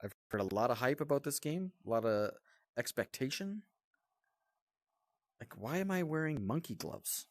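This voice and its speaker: A man's voice: mid-range voice, expressive, normal speed, pauses often, dry recording. A man giving a casual, off-the-cuff game review as the channel's host, in a blunt, grumbling, exasperated manner.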